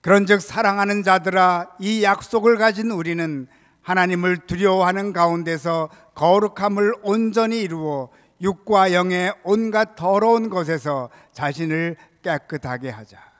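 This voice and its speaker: An older man preaching a prepared sermon as a pastor, in a measured, solemn way, from a church pulpit microphone. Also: high-pitched, fairly bright tone. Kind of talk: sermon, read from prepared text. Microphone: gooseneck pulpit microphone. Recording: reverberant church hall sound.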